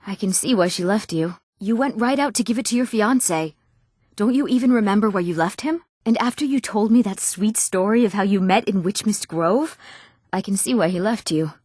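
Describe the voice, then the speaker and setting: Casting: actress for young eager townswoman, fantasy RPG; earnest, expressive delivery, mid-range female voice, dry sound. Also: mid-range voice, no echo, natural voice. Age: young adult. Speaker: video game voice actress. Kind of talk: scripted fantasy game dialogue.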